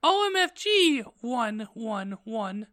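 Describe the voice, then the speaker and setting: A woman's voice: high voice, expressive, a little slow, pushed and bright, dry recording, studio quality, heavily acted. A woman voicing a melodramatic, easily outraged teenage goth girl in a comic story reading. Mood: overwrought outrage. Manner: exclamatory, overwrought.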